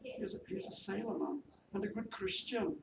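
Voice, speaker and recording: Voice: mid-range voice, fairly quick, vocal fry, voice pushed up, lightly acted. A reader voicing a meek but determined young maid with an attempted Welsh accent, polite, hesitant and deferential, as in a period novel. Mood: timid, earnest. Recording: noisy echoey room, distant mic.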